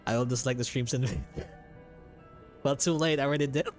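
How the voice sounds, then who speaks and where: A man talks casually to his livestream viewers as he plays a game. His voice sits at an average pitch, with some game music playing behind it. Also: steady tone, brisk. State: hiccups.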